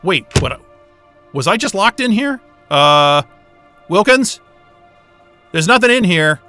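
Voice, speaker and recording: An actor playing an irreverent, wisecracking astrophysicist in a sci-fi audio drama, with a sarcastic, informal and exasperated way of talking. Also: male voice, high voice, lively intonation, takes it slowly, pushed and bright, faint music behind, exaggerated voice. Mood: bewildered. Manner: sarcastic, informal, hesitant muttering and outbursts.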